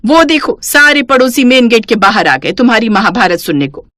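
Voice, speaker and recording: A woman voicing a responsible, middle-aged elder sister-in-law in a cartoon story, brisk, stern and admonishing. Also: on the high side, very animated, fairly bright tone, no echo, clean studio sound. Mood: scolding. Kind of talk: Hindi cartoon moral story acting.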